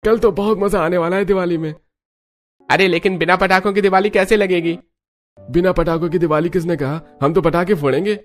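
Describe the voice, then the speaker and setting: Cartoon young family man, played by a man: higher-pitched, cheerful, festive, light music behind. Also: steady tone, fairly quick, natural voice. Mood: excited. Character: cheerful, festive young husband and brother-in-law. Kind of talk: Hindi cartoon story dialogue.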